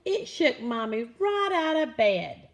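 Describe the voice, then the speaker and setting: A woman reading a children's picture book aloud in an expressive, dramatic manner. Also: a little high, slow. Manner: animated, expressive, with dramatic gasps and exclamations. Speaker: storybook reader.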